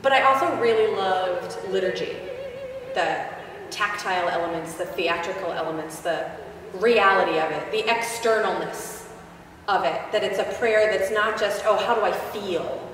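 A woman's voice: mid-range voice, moderate pace, background noise. A woman in her thirties, an opera singer, talking thoughtfully and candidly about her work in a church sanctuary, filmed from a distance. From Boston. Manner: thoughtful, candid, self-deprecating. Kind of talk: reflective interview. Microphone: camera at a distance. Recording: echoey church heard from a distance.

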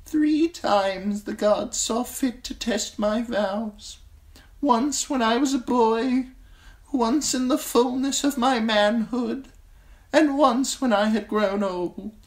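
Woman acting ancient blind old man, weary, gentle, slow grave delivery, high thin voice. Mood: grave, sorrowful.

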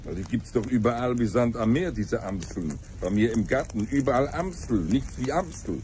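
An actor playing a grumbling, pedantic captive politician in a comic radio play, rambling and repetitive. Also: male voice, medium pitch, animated, normal speed, darkish tone, a little hiss or hum, lightly acted. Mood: fretful. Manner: rambling, repetitive, matter-of-fact.

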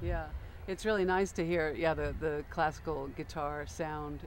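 A woman radio interviewer at an outdoor festival, asking questions in a halting way and often restarting them. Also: sits low, fairly even intonation, a little hiss or hum. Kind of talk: casual interview.

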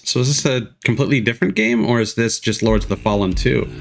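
A man's voice: mid-range voice, fast talker, vocal fry. A man hosting a live stream with casual reaction commentary, music playing behind him. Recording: music and trailer audio behind. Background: game showcase music.

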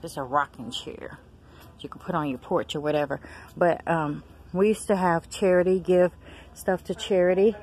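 Chatty low-voiced woman vlogging. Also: normal speed. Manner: chatty, excited exclamations, addresses viewers as y'all and girl. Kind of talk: casual shop-with-me vlog. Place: large discount store aisles, indoors. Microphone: handheld phone camera.

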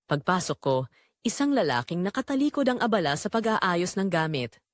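A woman's voice: lowish voice, very animated, moderate pace, dry recording, clean studio sound. A woman with a Filipino accent narrating a scripted first-person romance as a tender young call center agent. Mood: heartfelt, wistful. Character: tender young female call center agent telling her love story. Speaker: story narrator.

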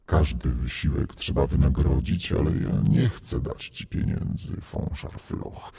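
A grim Death figure from a folk tale. The voice is low, dark and gravelly, badly husked. He talks curtly and ominously, like a stranger who will not be argued with. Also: expressive, exaggerated voice. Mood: solemn, ominous. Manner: curt, slow, ominous.

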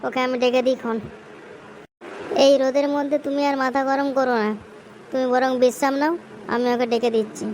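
A woman voicing a calm village housewife and mother in an amateur comedy cartoon, soothing in a West Bengal accent. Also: high-pitched, brisk, long pauses, slight background noise, lightly acted, poor audio. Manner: soothing, placating.

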